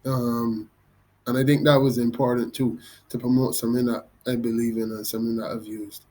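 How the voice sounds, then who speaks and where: A young man with a Barbadian accent, talking through his online business in a casual, hesitant way with many pauses and restarts. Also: mid-range voice, little pitch movement, darkish tone, slight background noise. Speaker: vlogger. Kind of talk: casual screen-recorded walkthrough.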